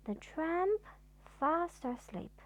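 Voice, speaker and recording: A woman narrating a children's storybook as a parent would at bedtime, with deliberate, expressive phrasing. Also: very high-pitched.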